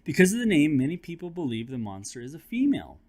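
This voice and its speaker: A man reads a children's book aloud as a teacher and host would. His voice sits a little high and swings widely in pitch. The delivery is dramatic and expressive, with conversational asides to young listeners. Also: fast.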